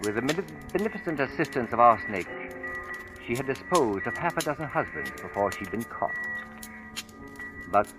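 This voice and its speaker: An actor playing a brooding storyteller in an old-time radio horror drama, narrating with deliberate, suspenseful, theatrical phrasing. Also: male voice, medium pitch, very animated, lightly acted, low-quality recording. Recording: vintage radio recording with music behind. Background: dramatic music.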